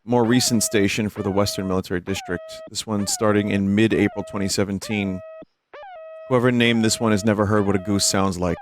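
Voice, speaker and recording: A man's voice: fairly low pitch, a little hiss or hum. A man hosting a livestream, reading text aloud and commenting casually. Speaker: streamer and host.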